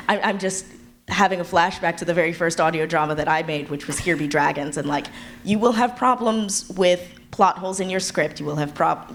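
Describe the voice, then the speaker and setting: A woman speaking as a panelist at a live event, giving candid, encouraging advice in casual talk. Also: on the low side. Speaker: audio drama creator, panelist. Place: live convention panel before an audience. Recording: live panel with background room noise.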